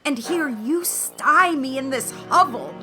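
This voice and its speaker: An actress playing a bitter, defiant enslaved monster who curses emphatically in a Shakespearean audio drama. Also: female voice, high-pitched, expressive, measured pace, pushed and bright, faint music behind, exaggerated voice. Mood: bitter, aggrieved. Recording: noisy remote home recording.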